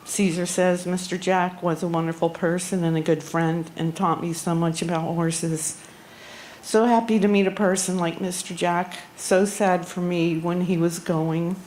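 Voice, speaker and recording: A woman giving a memorial tribute at a gathering, speaking haltingly with frequent pauses and ums. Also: fairly low pitch, flat delivery, a little mellow, a little hiss or hum, poor audio. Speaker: eulogy speaker. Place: outdoor barn gathering.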